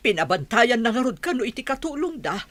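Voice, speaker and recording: A woman's voice: on the high side, big pitch swings, fast talker, background noise. A woman with an Ilocano accent playing an anxious, cautious middle-aged mother in a radio drama, hesitant and fretful. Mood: anxious warning.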